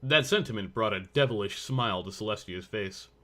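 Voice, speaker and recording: A man's voice: on the low side, fairly quick, bright, forceful tone. A man reading scripted story narration as the narrator of a fan fiction audio drama.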